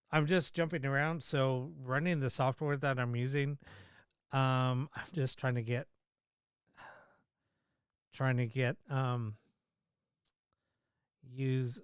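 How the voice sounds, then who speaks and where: A man hosting a paranormal livestream, chatting casually with many fillers and restarts, his voice slightly hoarse. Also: medium pitch, no echo, studio quality. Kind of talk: casual live-stream chat.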